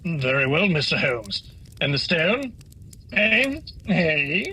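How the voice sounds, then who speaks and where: A man's voice: fairly high pitch, sing-song, takes it slowly, sharp tone, slight background noise, heavily acted, rough recording. A man playing a bumbling, greedy working-class husband in a radio play, overwrought and comically pompous. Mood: hopeful. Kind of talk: holiday radio play acting.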